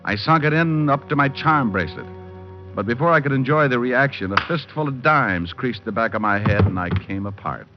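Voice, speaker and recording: An actor playing a cocky, wisecracking hardboiled private detective who narrates with deadpan humor in an old-time radio drama. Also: male voice, medium pitch, a little fast, natural voice. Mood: wry narration. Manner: deadpan, flippant wordplay in narration and dialogue. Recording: muffled old radio recording with music.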